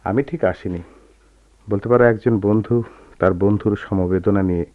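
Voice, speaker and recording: Man acting a deep-voiced, melancholy Bengali gentleman. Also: no echo, natural voice. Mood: pained restraint. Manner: measured, literary phrasing. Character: melancholy, soft-spoken educated gentleman, self-sacrificing former lover. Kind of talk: Bengali radio drama acting. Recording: dull lo-fi radio drama recording.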